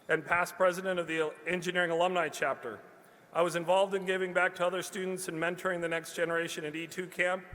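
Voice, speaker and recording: A man addresses a graduation ceremony with a prepared speech. His voice is fairly high, bright and forceful, with long reverb from a large hall. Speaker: alumni speaker and presenter.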